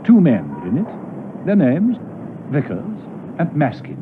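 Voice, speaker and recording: A man's voice: on the high side, wildly swooping pitch, a little slow, background noise. A man acting as the storytelling narrator of a classic spy radio drama, reading scripted narration. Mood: measured storytelling. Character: male narrator of a spy adventure. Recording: tinny, band-limited old radio broadcast, music behind.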